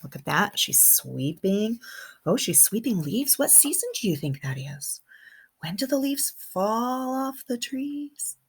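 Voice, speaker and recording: Female kindergarten teacher, storytime read-aloud; unhurried pace, slightly husky voice, simple asides for little listeners. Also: fairly high pitch. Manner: animated, simple wording, pauses to comment and question. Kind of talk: expressive picture-book read-aloud for young children, with asides.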